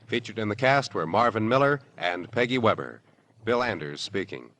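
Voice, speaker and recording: Lively vintage American radio announcer, male. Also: lowish voice, moderate pace. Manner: polished, formal. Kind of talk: 1950s radio announcing, scripted credits and promos. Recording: vintage radio broadcast, music underneath. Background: loud music.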